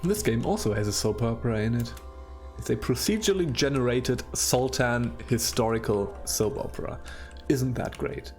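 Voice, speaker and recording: Male let's-play host with a German accent, mid-pitched, casual and rambling, self-deprecating. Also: lively intonation, normal speed, faint music behind. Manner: rambling, chatty, self-deprecating, with frequent laughs and restarts. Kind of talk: casual gameplay commentary.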